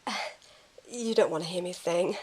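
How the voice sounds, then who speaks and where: A woman playing a gentle, shy, encouraging companion with a soft, coaxing manner in an amateur audio drama. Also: on the low side, moderate pace, slightly rough.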